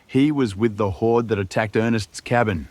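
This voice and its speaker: A man voicing an earnest, protective young hero in a fantasy story, urgent and halting when feelings rise. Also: lowish voice, darkish tone, no room sound, lightly acted. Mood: apologetic.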